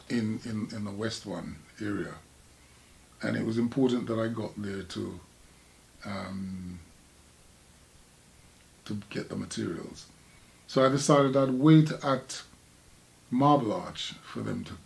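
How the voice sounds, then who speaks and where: An older man from Jamaica with a Jamaican accent, an artist recalling his past in a halting, reflective conversation. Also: fairly low pitch, creaky, darkish tone. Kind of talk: casual recollection in conversation.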